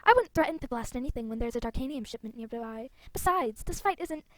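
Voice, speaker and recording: Taunting dark rider villainess read by a woman. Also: fairly high pitch, lively intonation, fast, no echo, lightly acted. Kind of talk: reading out fantasy game dialogue.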